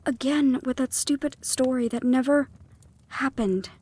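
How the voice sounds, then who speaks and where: A woman's voice: sits high, measured pace, a little mellow, breathy edge. A woman voicing a defiant young female thief in an audio roleplay, teasing and sardonic, sometimes dropping to a whisper. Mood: bitter.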